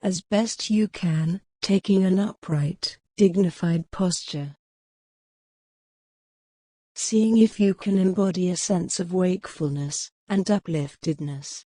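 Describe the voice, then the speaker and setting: A woman narrating a guided meditation in a measured, instructional manner, pausing gently between phrases. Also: fairly low pitch, no room sound, clean studio sound.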